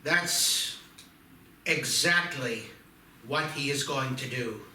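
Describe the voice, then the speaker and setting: A grim, obsessed doctor in his fifties, read by a man at a table read, with a very bright, forceful voice. He presses his case earnestly. The sound is echoey, noisy and far from the mic. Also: mid-range voice, takes it slowly. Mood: earnest, calmer.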